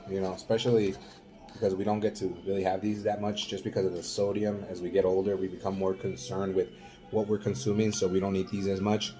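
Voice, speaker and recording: A middle-aged Salvadoran man talking casually to his viewers, halting and full of fillers. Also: on the low side, mellow. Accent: Mexican-influenced Spanish. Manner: rambling, halting, many fillers. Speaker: vlogger. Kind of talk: casual vlog talk.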